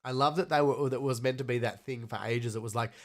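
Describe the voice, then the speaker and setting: A man's voice: medium pitch, fairly even intonation, fast. A man with a blocked-up, snuffly nose co-hosts a casual podcast. His voice sits mid-range, and he chats easily, reading out viewer questions and laughing now and then. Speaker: podcast co-host.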